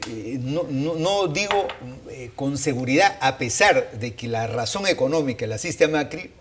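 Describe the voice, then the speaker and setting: A man giving unscripted analysis as a panelist, explaining deliberately and repeating points for emphasis. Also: medium pitch, lively intonation, a bit bright, slight background noise. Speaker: political panelist.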